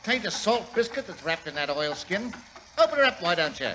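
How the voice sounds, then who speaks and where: An actor playing a chatty, muddled Cockney cab driver in a 1940s radio drama. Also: male voice, high-pitched, very animated, bright, forceful tone, full character voice. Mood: cheeky, muddled. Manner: chatty, halting recitation of a message. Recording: old radio recording with faint hiss.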